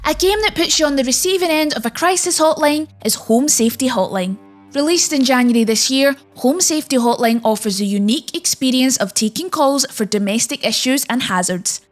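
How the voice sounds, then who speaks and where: High-pitched woman narrating a scripted list. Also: lively intonation, fairly quick. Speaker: narrator and presenter of a video game list.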